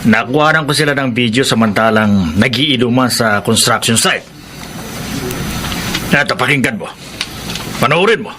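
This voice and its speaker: A male actor as a loyal workmate in a radio drama. He has a middle-pitched, earnest voice with clear noise behind it. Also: expressive, normal speed, long pauses, lightly acted. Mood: sincere. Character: loyal, sincere male friend, a fellow worker. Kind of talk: Filipino radio drama acting. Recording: noisy radio drama recording.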